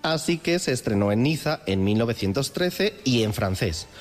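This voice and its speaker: A man's voice: mid-range voice, animated, moderate pace, long reverb tail, soft background music. A man explaining language on the radio, using examples. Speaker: language commentator.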